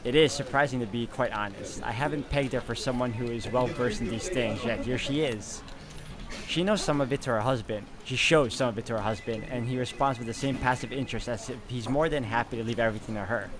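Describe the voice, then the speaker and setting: A man's voice: medium pitch, brisk. A man hosting a game playthrough, reading visual novel narration aloud.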